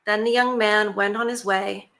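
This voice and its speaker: A woman reads a fairy tale aloud for her channel, with a bright, sharp tone. She talks in a chatty way, dropping in casual asides and fillers between steady passages of narration. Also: mid-range voice, moderate pace. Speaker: YouTube storyteller.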